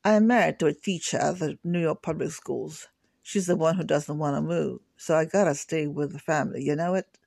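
A blunt, grumbling lab worker from Queens speaks flat and deadpan, as a man reads him aloud. The voice sits a little high and very gravelly, with a dry, close sound and no room around it. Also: brisk, no echo. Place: home kitchen table. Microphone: laptop setup.

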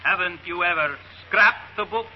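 A man's voice: high-pitched, normal speed, slight background noise, natural voice. A man playing a sly Romanian who slips veiled threats into polite questions in a 1950s radio drama. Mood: silky menacing. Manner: smooth, veiled menace. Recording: dull old radio broadcast.

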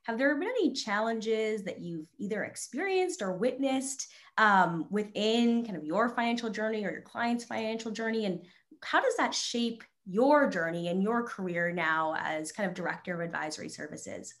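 A woman's voice: medium pitch, lively intonation, normal speed. A woman, working as a podcast host with prepared lines and conversational questions. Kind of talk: prepared introduction and conversational interviewing.

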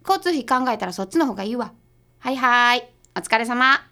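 A woman's voice: a little high, lively intonation, bright, forceful tone. A woman playing a blunt, skeptical detective's assistant with a Kansai accent in a comedic radio drama. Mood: brisk, businesslike. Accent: Kansai Japanese. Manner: blunt, brisk retorts.

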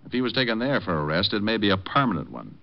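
A man's voice: lowish voice, big pitch swings, fast. An actor playing a cocky, wisecracking private detective in a 1940s radio drama, dry and flippant as he acts and narrates. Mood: wry, narrating. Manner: dry, flippant, smooth-talking. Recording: dull old radio recording with music.

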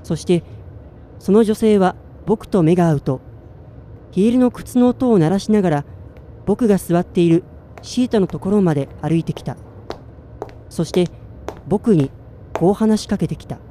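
A man's voice: high voice, moderate pace, long pauses, mellow, slight background noise, natural voice. An actor playing a listless, self-doubting man in his twenties in a radio drama, plain-spoken in narration and dialogue. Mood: reflective, subdued. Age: 20s.

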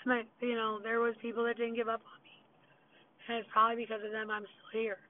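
A woman hosting her own podcast, venting bluntly and profanely in an unscripted solo rant, her voice hoarse and going. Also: a little high, completely flat delivery, fast. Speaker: podcast host. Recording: tinny phone-quality recording.

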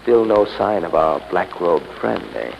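Headstrong scoffing skeptic, gravelly, lively delivery, commanding, vintage radio mystery. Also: male voice, deep voice, a little slow, a little hiss or hum, heavily acted. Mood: scoffing confidence. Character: stubborn, dismissive skeptic determined to face a ghost. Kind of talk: 1930s radio acting. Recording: muffled 1930s radio recording.

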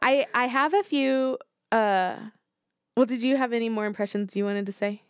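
A woman co-hosting a podcast in casual chat, animated and reflective, thinking aloud with fillers. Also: a little high, normal speed, clear, resonant tone, no room sound, studio quality. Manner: animated, reflective, talks things through with fillers. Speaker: podcast co-host.

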